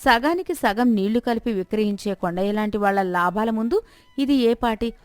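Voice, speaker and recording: A woman's voice: a little high, moderate pace, a little mellow, smooth, no echo. A woman narrating a scripted moral story as a storyteller.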